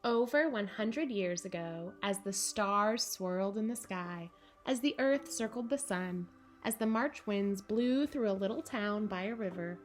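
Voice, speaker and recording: A woman narrating a children's picture book in measured, deliberate phrases with dramatic pauses. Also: medium pitch, animated. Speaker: storybook reader for children.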